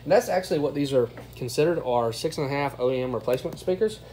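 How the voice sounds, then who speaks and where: Male reviewer, informal and plain-spoken, average pitch, faint room noise behind him. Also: lively intonation, quick pace, mellow, low-quality recording. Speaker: product reviewer. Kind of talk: casual hands-on review commentary. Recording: clear background noise, room ambience.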